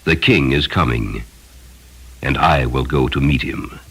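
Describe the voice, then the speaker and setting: Solemn low-voiced magus, read by a man. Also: a bit bright, slightly rough, full character voice. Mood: reverent resolve. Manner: solemn, earnest, measured. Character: devout, hopeful Persian magus setting out on pilgrimage. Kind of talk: dramatic storybook reading.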